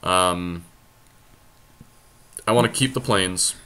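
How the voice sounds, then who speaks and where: A man's voice: deep voice, takes it slowly, pushed and bright, smooth. A man giving casual commentary on an online card game, thinking aloud as he talks through each move. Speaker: podcast host playing an online card game.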